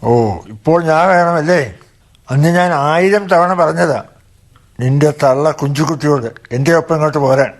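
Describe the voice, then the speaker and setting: A man's voice: a little high, steady tone, normal speed, close to an everyday voice. A man with a Kerala accent playing a bitter, sardonic middle-aged village father in a radio drama. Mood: bitter, scornful. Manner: sardonic, scornful. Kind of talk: Malayalam radio drama acting.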